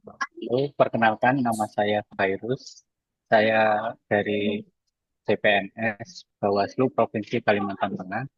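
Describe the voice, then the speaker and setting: A man gives a slow, plain introduction on a podcast. His voice is husky, and it sounds dull and lo-fi. The sound is dry. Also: on the low side, fairly even intonation, no echo. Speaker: podcast guest, civil servant.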